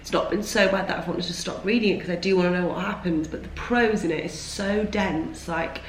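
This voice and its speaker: A woman's voice: lowish voice, fairly even intonation, a little fast, echoey room, a little hiss or hum, rough recording. A young woman who has lost her voice after a cold, chatting casually and rambling to camera from home. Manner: chatty, rambling, self-deprecating. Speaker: vlogger. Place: at home, indoors.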